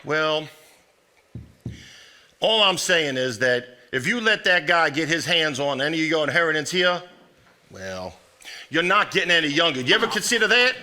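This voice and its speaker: An actor playing a blunt, nagging brother in a 1950s family stage drama. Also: male voice, fairly high pitch, lively intonation, moderate pace, long pauses, sharp tone. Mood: indignant, badgering. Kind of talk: live stage acting.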